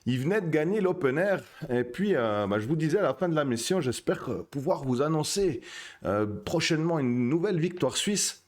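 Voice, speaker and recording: A man's voice: a little high, animated, moderate pace. A man hosting a casual poker live stream, talking with many fillers and restarts. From Switzerland.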